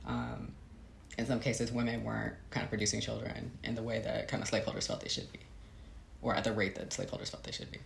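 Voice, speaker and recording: Low-creaking American woman in a roomy space. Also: quick pace. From Midwestern United States. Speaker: author being interviewed. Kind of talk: conversational explanation. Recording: roomy echo, faint background noise.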